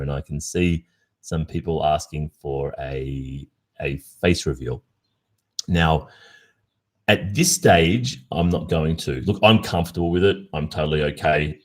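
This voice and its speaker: An Australian man hosting a casual livestream Q&A, chatting informally and reflectively. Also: low voice. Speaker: ASMR roleplay creator and livestream host.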